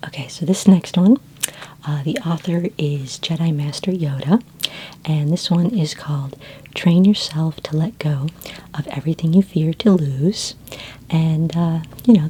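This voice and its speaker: Female ASMR performer as friendly space librarian: soft whispery delivery, dark warm tone, faint background noise. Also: low-pitched. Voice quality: soft, breathy near-whisper. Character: friendly, helpful sci-fi librarian woman. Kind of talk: soft-spoken ASMR roleplay.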